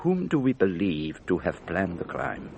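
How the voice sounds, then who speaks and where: An actor playing an elderly detective in a radio drama, speaking in a precise, deliberate, patiently instructive way. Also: male voice, low-pitched, big pitch swings, darkish tone, lo-fi sound, a little hiss or hum. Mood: patient, probing. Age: older. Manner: precise, deliberate, didactic questioning. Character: elderly, methodical, patient Belgian male detective.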